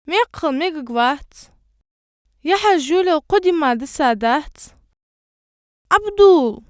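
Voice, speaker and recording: A woman voicing a young, chatty child in a dubbed animated family cartoon. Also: high voice, moderate pace, no room sound, clean studio sound. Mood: calling, concerned. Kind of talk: cartoon dubbing.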